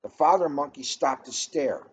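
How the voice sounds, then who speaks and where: A man reading a children's story aloud in an expressive, dramatic way, drawing out key words for emphasis. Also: medium pitch, normal speed, slightly rough. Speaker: storybook reader. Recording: noisy, dull lo-fi recording.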